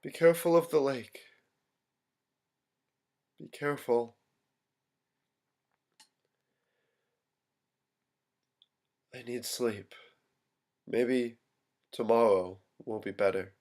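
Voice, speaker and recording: A man in a staged drama reading, playing a troubled young son who speaks haltingly and slips into his missing family's voices. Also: medium pitch, darkish tone, lightly acted. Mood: exhausted. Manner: halting, repetitive, switching tones of voice. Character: troubled young son losing his grip, pleading, echoing his vanished family.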